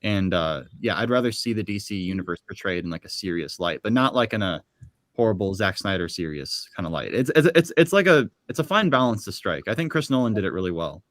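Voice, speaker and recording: A young man chatting as a guest on a video call panel, quick and repeating words as he starts sentences. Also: lowish voice, animated, fairly bright tone, no echo. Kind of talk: casual panel chat.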